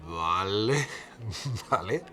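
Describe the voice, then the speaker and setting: A man's voice: on the low side, lively intonation, drawn-out words, pushed and bright, a faint crowd, exaggerated voice. An actor playing a blunt cameraman from Extremadura, speaking colloquially and hesitantly in a mock documentary interview. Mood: hesitant, recalling. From Cáceres, Spain. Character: TV cameraman from Extremadura recalling events, resentful but kindly.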